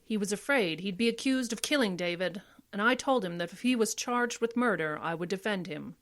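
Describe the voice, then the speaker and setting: A woman's voice: medium pitch, expressive, fairly bright tone, natural voice. Woman playing a composed, formal lady attorney. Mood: firm. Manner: formal, measured. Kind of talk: classic radio drama acting.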